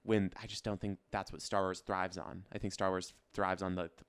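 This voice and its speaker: Smooth, low-voiced man chatting loosely. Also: little pitch movement, fairly quick, no room sound. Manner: loose, informal phrasing full of "like". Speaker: podcast co-host. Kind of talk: casual chat about pop culture.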